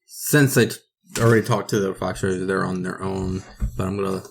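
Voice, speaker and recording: A man's voice: sits low, fairly even intonation, brisk, breathy edge. A man talking casually as a player in a tabletop game, saying what his character does.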